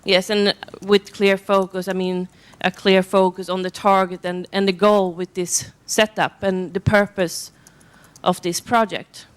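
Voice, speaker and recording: A woman contributing to a seminar discussion in a measured, deliberate way. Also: mid-range voice, flat delivery, smooth. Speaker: seminar participant.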